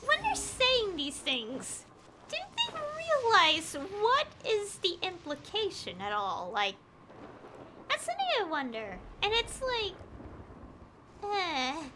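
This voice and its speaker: A woman with a high, very lively voice rambles casually to her stream as she plays a game. She halts, restarts and circles back over her phrasing, her pitch swinging widely. There is clear noise behind her voice. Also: slow pace. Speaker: video game livestreamer. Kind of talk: casual rambling chat while gaming.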